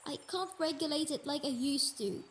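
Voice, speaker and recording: Woman acting high-voiced, wounded, motherly Earth goddess. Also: moderate pace, fairly bright tone, a little hiss or hum. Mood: anguished, sickly. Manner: tender, hesitant, emotional, breaking into whispers. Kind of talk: scripted intimate audio roleplay monologue. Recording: nature ambience with birdsong behind voice.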